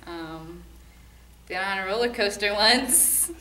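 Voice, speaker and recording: A woman's voice: medium pitch, slow pace, pushed and bright. A young woman answering interview questions in a casual, chatty way. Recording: echoey room, distant mic.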